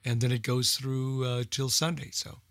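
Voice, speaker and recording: A man's voice: medium pitch, flat delivery, normal speed, dry recording. Informal male radio host, dry close sound. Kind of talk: casual unscripted programme announcements.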